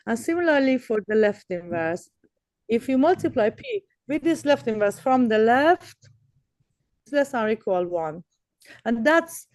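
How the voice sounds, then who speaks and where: A high-voiced woman, a native Persian speaker, walking a research seminar through theory in a dry, close sound. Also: a little mellow, no room sound. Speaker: academic speaker giving a research talk. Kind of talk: explanatory lecture with question and answer.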